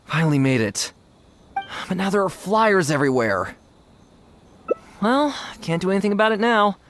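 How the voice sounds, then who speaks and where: An actor playing a cowardly, scatterbrained young gang member in a video game, talking in a rambling, slangy way. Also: male voice, high voice, big pitch swings, a little slow, long pauses, a bit bright, a little hiss or hum, full character voice. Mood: anxious. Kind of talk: animated video game voice acting.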